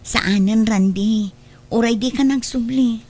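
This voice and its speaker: A woman's voice: fairly high pitch, a little slow, close to an everyday voice. A woman with an Ilocano accent acting a dutiful, apologetic young woman in an audio drama. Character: apologetic, dutiful young woman turning away her suitor.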